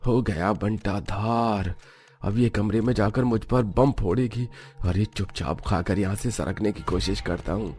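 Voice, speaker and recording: A man's voice: on the low side, unhurried, a little mellow, natural voice. A man playing a nervous, sheepish young husband in a cartoon, with an anxious, pleading manner. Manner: stammers when flustered, pleading.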